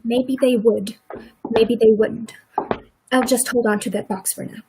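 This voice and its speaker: Radio drama farm wife, played by a woman: high voice, plain country talk, brooding regret, quiet defiance. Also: fairly even intonation, a little slow, noisy background. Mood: firm, guarded. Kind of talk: stage-like radio play acting.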